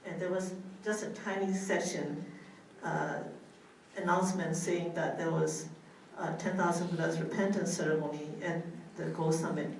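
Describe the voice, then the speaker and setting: Brief: middle-aged woman from Myanmar, Buddhist nun, slow halting testimony, heard from a distance in a boomy room. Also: darkish tone. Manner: hesitant, many fillers and restarts. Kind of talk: personal testimony talk. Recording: echoey room, far from mic, faint noise.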